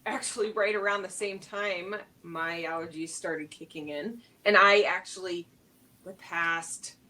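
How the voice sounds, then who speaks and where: A woman's voice: on the low side, fairly even intonation, unhurried, bright, forceful tone, recorded from a distance, low-quality recording. A middle-aged woman co-hosting a casual wellness livestream, bubbly and laughing often as she talks with many fillers. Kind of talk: casual chat.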